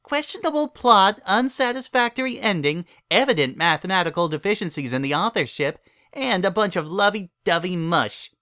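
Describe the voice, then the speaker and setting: A man's voice: high voice, animated, normal speed, hardly any pauses, sharp tone, dry recording, studio quality, voice pushed up. A man voicing a small, precocious filly who argues and nitpicks in a bratty, sleepy way, in a storybook reading. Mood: disgusted. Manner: argumentative, nitpicking, dismissive.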